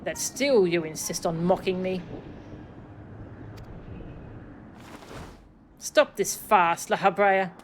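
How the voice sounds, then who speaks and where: A woman's voice: on the low side, a little slow, a little hiss or hum, close to an everyday voice. A woman voicing a wounded, defiant grown son in a fantasy game, emphatic and accusing. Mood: angry, accusing. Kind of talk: theatrical read-aloud of fantasy game dialogue. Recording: music behind the voice.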